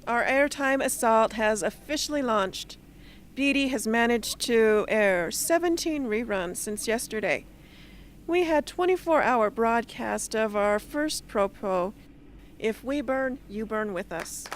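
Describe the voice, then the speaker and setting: A woman's voice: a little high, measured pace, slight background noise, close to an everyday voice. A woman playing a stern, formal rebel leader with an authoritative manner in an amateur radio drama. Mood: commanding.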